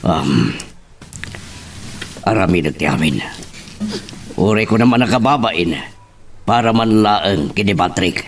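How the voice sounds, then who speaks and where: Far from the mic with some noise, a low-pitched middle-aged father acted by a man speaks in a radio-style drama. He is grave and protective, weighed down by regret. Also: expressive, takes it slowly, long pauses, full character voice. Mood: resolved, earnest. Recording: distant mic, background noise.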